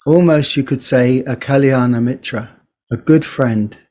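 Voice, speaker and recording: A man leading a guided body scan meditation as a teacher, speaking gently in short phrases with unhurried pauses. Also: mid-range voice.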